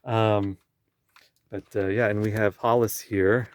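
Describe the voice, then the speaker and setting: Man, slow halting speech, lowish voice, chinese first language, casual host chat, dry close sound. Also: flat delivery, no echo. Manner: halting, many restarts and fillers, laughs often. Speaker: podcast host. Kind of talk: casual conversation. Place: indoor room with a field recorder. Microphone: field recorder.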